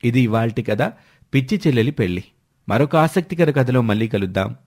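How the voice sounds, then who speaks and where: A man's voice: mid-range voice, expressive, fairly quick, a little mellow. A man narrating a scripted moral story as its storyteller.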